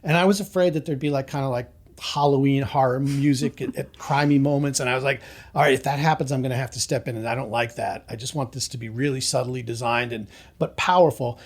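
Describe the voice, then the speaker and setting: A man who is a guest on a podcast, talking about his work in a relaxed and reflective way. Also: medium pitch, quick pace. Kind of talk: casual interview chat.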